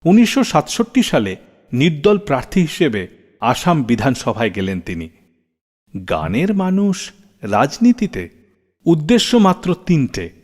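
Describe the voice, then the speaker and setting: A man's voice: on the high side, huge pitch swings, a little slow, long pauses, darkish tone. A man hosting a radio show, telling stories in a scripted, dramatic style with rhetorical flourishes between songs. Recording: long reverb, music underneath. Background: background music.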